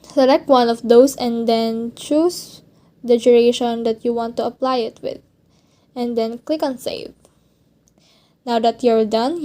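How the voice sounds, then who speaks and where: A woman with a fairly high voice and a very soft, mellow tone narrates a how-to tutorial. She guides the listener through simple instructions one step at a time. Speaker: tutorial host.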